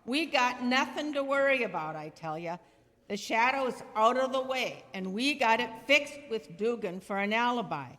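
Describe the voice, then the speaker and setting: A tough, defiant gangster played by a woman in a 1930s-style radio play. The voice is fairly high and blustering, full of streetwise slang. It rings in a large, reverberant hall. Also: lively intonation, normal speed, fairly bright tone. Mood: brash, dismissive. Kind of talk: recreated 1930s radio drama acting. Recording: reverberant hall stage recording.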